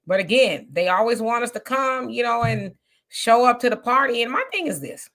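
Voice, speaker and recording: A woman's voice: fairly high pitch, expressive, normal speed, fairly bright tone. A woman hosting a live discussion, reading viewer comments aloud and answering them in an emphatic, conversational way. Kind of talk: casual live-stream commentary.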